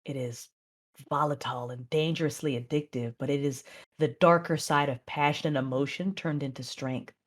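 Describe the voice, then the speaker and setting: A woman acting as a controlled, proud Sith mother, narrating and speaking in a measured, intense way in an audio drama. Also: low voice, steady tone. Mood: brooding. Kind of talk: first-person dramatic narration and dialogue.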